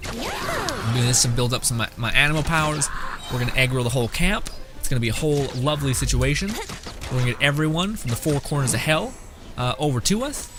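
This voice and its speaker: A man's voice: mid-range voice, big pitch swings, quick pace. A young man doing casual, joking gameplay commentary, rambling and sarcastic with some swearing. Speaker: game streamer. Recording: game music behind voice.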